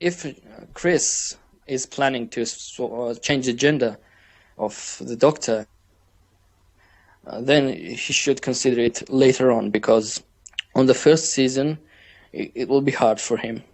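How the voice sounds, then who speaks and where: Slow, halting Georgian-accented man. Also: medium pitch, creaky, a little mellow. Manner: halting, searching for English words with many restarts. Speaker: podcast guest. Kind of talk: casual interview chat.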